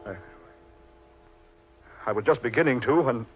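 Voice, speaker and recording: A man playing an older, frightened, wicked millionaire, husky and pleading, in melodramatic old-time radio acting. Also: mid-range voice, a little slow, lightly acted. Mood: bewildered, fearful. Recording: tinny vintage radio recording with music.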